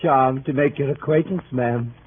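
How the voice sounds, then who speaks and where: An actor in 1930s radio style playing an earnest, gallant gentleman with a formal, courteous manner. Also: mid-range voice, normal speed, narrow, tinny audio, close to an everyday voice. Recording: thin, noisy 1938 radio broadcast.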